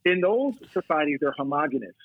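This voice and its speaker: Man, police officer guest, rapid emphatic storyteller, husky voice, phone-line sound. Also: fairly high pitch, very animated, no room sound. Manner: fast, emphatic storytelling with quoted back-and-forth. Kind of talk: casual podcast chat. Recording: tinny phone-line audio.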